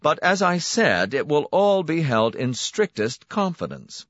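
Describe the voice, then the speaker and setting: Man putting on a smooth menacing director, raised lively voice, dull dry recording. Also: fairly high pitch, unhurried, no room sound, pitched above the performer's own voice. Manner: silky, pointed, relishing emphasis. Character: smooth, smiling, menacing male clinic director.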